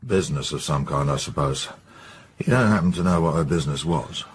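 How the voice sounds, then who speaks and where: An actor playing a brisk, sceptical English police inspector, businesslike and colloquial as he questions people in a radio drama. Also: a bass voice, husky, full character voice. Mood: probing, businesslike.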